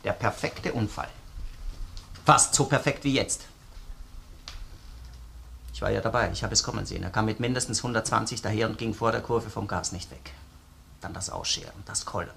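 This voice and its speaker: A man's voice: fairly low pitch, gravelly, bright, forceful tone, a little hiss or hum. A man with an Austrian accent playing a relentless, sardonic police detective who questions a suspect insistently in a crime radio play. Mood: coldly sardonic. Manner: probing, insistent, sardonic, deliberate phrasing. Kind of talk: German-language crime radio play acting.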